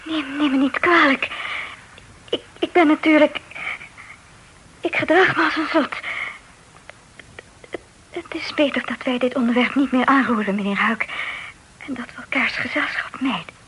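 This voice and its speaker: A dutiful young lady in an old period radio play, torn by love yet restrained. Her voice is high and breathy, with hesitant, formal phrasing. Faint noise sits behind the recording. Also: fairly even intonation, moderate pace, long pauses, a bit bright, low-quality recording. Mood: flustered, withdrawing. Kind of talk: radio drama acting, period romance.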